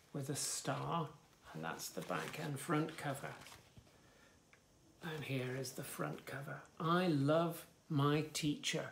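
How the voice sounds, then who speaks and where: A man in his fifties reading a picture book aloud to young children, with expressive, sing-song delivery and soft asides. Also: a little high, gravelly, a little hiss or hum. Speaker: children's story reader, host. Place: small furnished room indoors, bookshelves.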